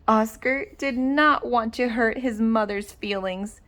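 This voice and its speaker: A woman reading a children's story aloud with dramatic, deliberate expression. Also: on the high side, fairly bright tone. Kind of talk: animated read-aloud narration.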